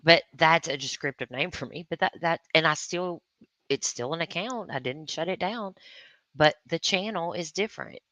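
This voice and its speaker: Woman, deep voice, halting and defensive, casual livestream talk, dry sound. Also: bright, forceful tone, no echo. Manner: defensive, puzzled, halting restarts.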